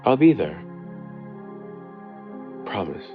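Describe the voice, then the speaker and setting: A man playing a devoted boyfriend who leaves an intimate late-night voicemail, speaking earnestly and tenderly with hesitant pauses. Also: on the low side, very animated, phone-quality sound, exaggerated voice. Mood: loving, reassuring. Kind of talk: intimate boyfriend audio roleplay, slow monologue. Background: soft new-age music.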